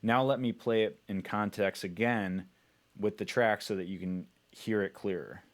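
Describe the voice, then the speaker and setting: A man's voice: lowish voice, steady tone, moderate pace. A man talking casually as he explains his music production work in an informal, instructional way. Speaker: music producer presenting a tutorial.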